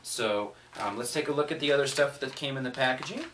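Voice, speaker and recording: A man's voice: mid-range voice, quick pace. A man giving a casual unboxing review, thinking aloud in a conversational way. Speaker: product reviewer. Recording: distant mic, faint noise, clicks.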